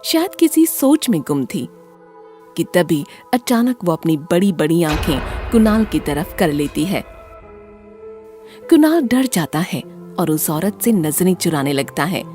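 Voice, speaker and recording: A woman with a fairly low voice tells a horror story. Her scripted narration is dramatic and very lively in pitch, over soft background music. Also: unhurried.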